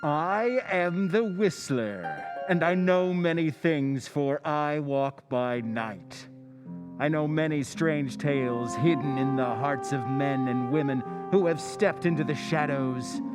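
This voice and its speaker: An actor playing a mysterious, ominous night-walking narrator in a radio drama, deliberate and measured. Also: male voice, a little high, music in the background.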